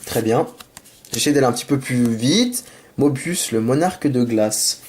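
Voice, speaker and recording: A man with a sore throat from a cold, chatting casually and apologetically as he opens trading card packs and gets excited over the cards. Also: medium pitch, unhurried, a little hiss or hum. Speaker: YouTuber opening card packs. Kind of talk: casual unboxing commentary. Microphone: camera mic.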